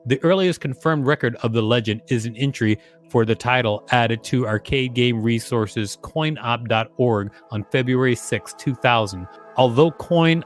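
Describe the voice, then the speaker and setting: A man's voice: medium pitch, moderate pace, few pauses, faint music behind. A man co-hosting a podcast, reading an article aloud and breaking in with teasing, chatty asides.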